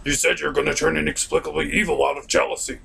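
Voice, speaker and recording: A man voicing a meek, eager would-be villain from the basement in a comedic puppet review, with a gravelly voice. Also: fairly high pitch, steady tone, quick pace, fairly bright tone, a little hiss or hum. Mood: eager, ominous.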